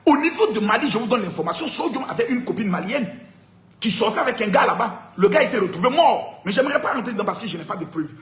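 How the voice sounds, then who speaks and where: A man giving an animated political talk, sing-song and laughing as he speaks. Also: sits high, fast, reverberant.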